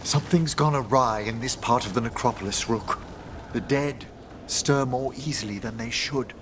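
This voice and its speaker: A man's voice: mid-range voice, normal speed, background noise, natural voice. An actor playing a courteous, scholarly necromancer professor in a video game, a middle-aged man with formal, eloquent speech. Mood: intrigued, concerned. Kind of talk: video game character acting.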